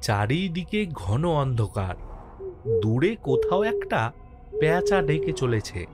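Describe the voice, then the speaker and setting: Man playing a jittery postmaster, animated, swooping delivery. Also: fairly high pitch, measured pace, over loud music. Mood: suspenseful. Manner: suspenseful, theatrical. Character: jittery postmaster narrating his own haunting. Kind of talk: dramatic horror cartoon narration.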